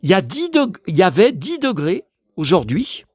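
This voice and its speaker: Older French guy, hoarse, exasperated and chatty, big up-and-down pitch, dry room. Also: wildly swooping pitch, moderate pace, a little mellow, no room sound. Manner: grumbling, exasperated, talks to himself. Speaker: vlogger showing flea-market finds. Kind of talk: casual monologue to viewers.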